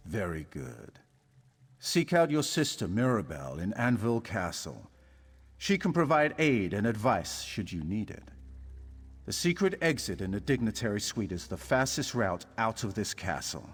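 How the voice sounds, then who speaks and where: A man playing a calm, menacing assassin leader in a video game, speaking in a measured, formal, authoritative way with a husky voice. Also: fairly low pitch. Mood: cold, commanding. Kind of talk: video game character dialogue. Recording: long hall reverb, music underneath.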